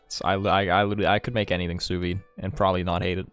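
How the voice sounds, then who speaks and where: A man's voice: deep voice, level tone, rapid-fire, a bit bright, smooth, soft background music. A man chatting casually as he hosts a game livestream, jokey and opinionated, on a close mic. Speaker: gaming streamer and host.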